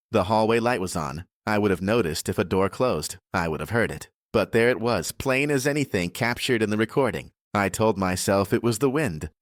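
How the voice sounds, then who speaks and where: Man, low voice, dry close sound, scripted horror audiobook narration, measured and deliberate. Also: no room sound.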